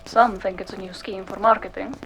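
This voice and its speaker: A woman playing a curious, cool-headed cyberpunk investigator in a noir audio drama, measured and matter-of-fact. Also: mid-range voice, fairly even intonation, fairly bright tone, slight background noise, close to an everyday voice, poor audio.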